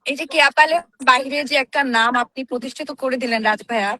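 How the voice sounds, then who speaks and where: A woman's voice: high-pitched, fast talker, sharp tone, no room sound. A woman with a Bangladeshi accent, an actress guest speaking effusively and appreciatively in a casual group chat.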